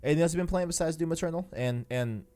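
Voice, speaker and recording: A man's voice: on the high side, fast talker, warm tone. A man hosting a podcast, chatting casually about video games in slangy speech and often repeating himself as he agrees. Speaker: podcast host.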